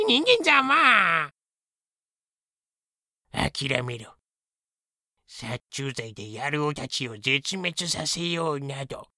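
A woman's voice: low-pitched, vocal fry, sharp tone, no room sound, studio quality, heavily acted. A woman voicing a cheeky, taunting young male cockroach with a cutesy verbal tic in a comedic audio drama. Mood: gloating.

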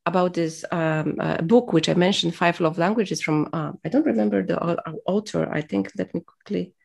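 A woman's voice: fairly low pitch, normal speed, creaky, a little mellow. A woman whose first language is Polish, speaking as an interview guest in a reflective, motivational way, hesitant and full of fillers as she searches for words.

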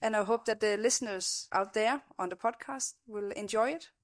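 A woman's voice: medium pitch, moderate pace. A woman with a Danish accent, a native Danish speaker, hosting a podcast interview in a chatty way, laughing often and restarting sentences. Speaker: podcast host. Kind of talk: casual interview chat.

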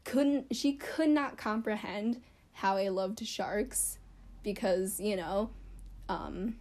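Mellow-voiced young woman rambling casually. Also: mid-range voice, takes it slowly. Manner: rambling, self-deprecating, lots of fillers. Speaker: vlogger. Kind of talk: casual chatty storytelling.

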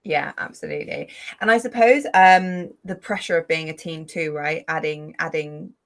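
A woman podcast host with a slightly low voice chats casually as she interviews. Her manner is enthusiastic and informal, and she repeats words for emphasis. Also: steady tone, moderate pace, a bit bright.

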